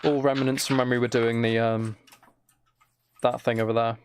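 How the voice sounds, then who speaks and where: A man streaming a game, chatting casually in a relaxed ASMR style, rambling along in a self-deprecating way. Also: mid-range voice, moderate pace, smooth. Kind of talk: casual livestream chat while gaming, relaxed ASMR.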